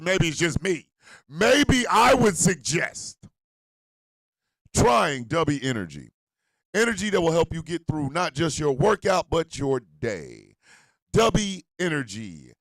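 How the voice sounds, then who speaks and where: A man hosting an internet radio show and doing comedic ad reads in a loud, rambling, jokey way. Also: medium pitch, no room sound.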